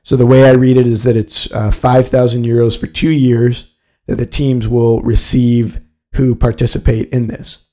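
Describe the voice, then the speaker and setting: A man's voice: medium pitch, level tone, moderate pace, warm tone. A man hosting a solo sports business podcast, explaining things in a matter-of-fact way. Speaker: podcast host.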